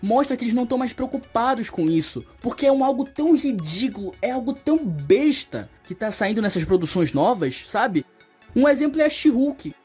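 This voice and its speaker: A man's voice: very high voice, sing-song, fairly quick, a little mellow, breathy edge. A young man with a Brazilian accent delivers opinionated, colloquial video-essay commentary, getting emphatic when he criticizes. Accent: Brazilian Portuguese. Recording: voice-over over background music. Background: music.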